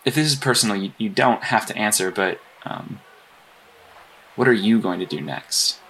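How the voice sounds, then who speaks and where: Low-voiced, earnest vigilante. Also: male voice, lively intonation, normal speed, fairly bright tone, a little hiss or hum. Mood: earnest, hesitant. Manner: hesitant, sincere. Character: earnest, awkward, principled male vigilante hero. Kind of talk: audio drama dialogue.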